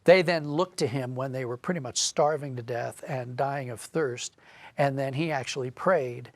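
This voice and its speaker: A man speaking as an interview guest, a writer explaining and telling stories in an animated, conversational way. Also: mid-range voice, moderate pace, no echo.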